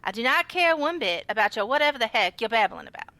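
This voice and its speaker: Woman acting a sharp, snippy office lady. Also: fairly high pitch, animated, fast, dry recording. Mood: exasperated. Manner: exasperated, curt, Southern y'all speech. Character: snippy, irritable female office worker, haughty. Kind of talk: comedy western audio drama.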